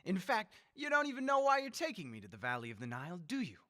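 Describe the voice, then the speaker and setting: A man playing a megalomaniac leader bent on mass death in a radio horror drama, theatrical and gloating, moving between hushed menace and shrieks. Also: high-pitched, huge pitch swings, quick pace, dry recording, studio quality, heavily acted. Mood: smug, taunting. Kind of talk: old-time radio horror drama acting.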